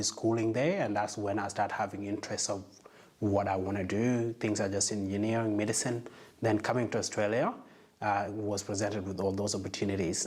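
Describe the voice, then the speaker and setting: A man's voice: fairly low pitch, a little mellow. A young man from South Sudan, a medical student, earnestly telling his story in a casual interview.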